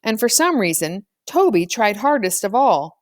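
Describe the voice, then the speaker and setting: A woman's voice: mid-range voice, big pitch swings, normal speed, no room sound, clean studio sound. A woman reading a children's novel aloud as scripted storybook narration. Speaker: audiobook reader.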